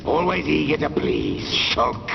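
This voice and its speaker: An actor voicing a cruel, theatrical traitor in a video game, gravelly, sneering and mocking as he draws out his words. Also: a little high, huge pitch swings, full character voice. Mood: mocking, gloating. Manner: sneering, drawn-out, mocking delivery. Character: gloating traitorous villain inside a machine, cruel and theatrical. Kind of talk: video game cutscene acting. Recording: muffled lo-fi game audio, loud music.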